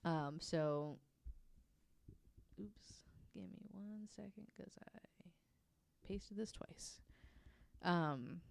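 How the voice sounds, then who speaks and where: A woman's voice: fairly low pitch, gravelly, no room sound, clean studio sound. A woman hosting a podcast, telling a nonfiction story in a casual, candid and self-deprecating way with some swearing.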